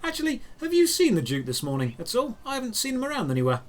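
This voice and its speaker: A man's voice: very high voice, wildly swooping pitch, fast talker, voice pushed up, full character voice. A man voicing a friendly, courteous castle groundskeeper in a fantasy audio drama, speaking politely. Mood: friendly, helpful. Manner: polite, amiable.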